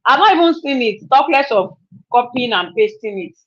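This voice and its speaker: Brief: woman, 30s, chatty live stream host, higher voice, dull lo-fi audio. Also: expressive, moderate pace. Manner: chatty, repeats herself, laughs often. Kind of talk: casual live stream chat.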